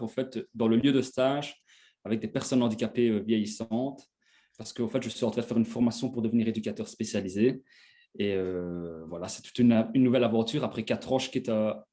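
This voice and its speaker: A man's voice: fairly low pitch, warm tone. A man in his twenties from Belgium, casually telling his own story as an interview guest. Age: 20s.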